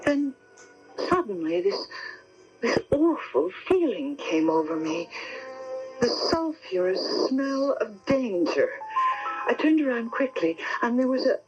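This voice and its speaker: An actress playing an anxious, devoted wife in a 1970s radio drama, with hesitant, dramatic delivery. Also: female voice, on the high side, sing-song, slow pace. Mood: fearful. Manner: dramatic, hesitant first-person storytelling. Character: anxious, devoted wife telling her ghostly tale. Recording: dull 1979 AM radio broadcast, music.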